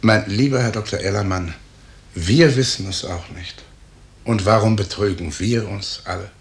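Ein Schauspieler spielt in einem Hörspiel der fünfziger Jahre einen ernsten, nachdenklichen Mann. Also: male voice, on the low side, moderate pace, natural voice. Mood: grave. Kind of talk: 1950s German radio drama acting.

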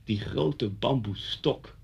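A man's voice: medium pitch, slow pace, vocal fry, darkish tone, slightly rough, exaggerated voice. An actor in a radio play playing a pedantic, menacing policeman, officious and insinuating in his precise way of talking. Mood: insistent, nostalgic. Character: pedantic, menacing policeman, the teacher's former pupil.

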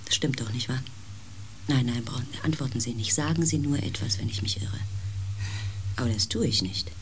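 An actor playing a compassionate narrator and protagonist in a science fiction radio play, gentle and reflective, over faint background noise. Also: male voice, medium pitch, normal speed, close to an everyday voice. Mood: earnest, troubled. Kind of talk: radio drama narration and dialogue.

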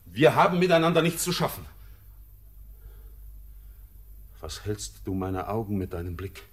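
An actor playing a haunted, defiant young orphaned sailor in a solemn radio drama, declaiming haltingly and with anguish. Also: male voice, fairly low pitch, big pitch swings, slightly rough.

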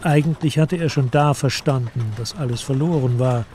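A man narrating a literary radio play from a script in a measured, solemn manner. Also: mid-range voice, mellow, slight background noise.

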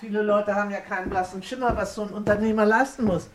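Actress as a talkative housekeeper, medium-pitched female voice, homely, good-natured, folksy, crime radio drama. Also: fairly even intonation, quick pace, background noise, natural voice. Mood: chatty, knowing. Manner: garrulous, homely, chuckling. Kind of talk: German crime radio play acting.

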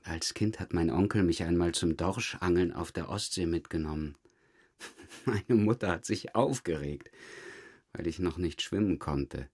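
An actor playing a calm, courteous police inspector in a naturalistic crime drama, speaking politely and with measure. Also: male voice, low-pitched, measured pace, husky. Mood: courteous, chatty. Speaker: interrogating police detective.

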